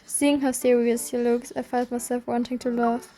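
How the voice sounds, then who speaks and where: A woman reading a game's story aloud in a steady first-person voice. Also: a little high, moderate pace, soft background music. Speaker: reader.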